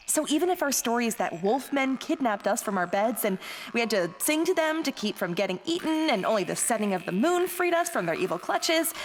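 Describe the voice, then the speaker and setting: A woman's voice: sits high, in a large hall, a little hiss or hum. A woman playing a bold, scheming teenage Sicilian girl in a radio play, theatrical, persuasive and playful. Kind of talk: dramatic radio play acting.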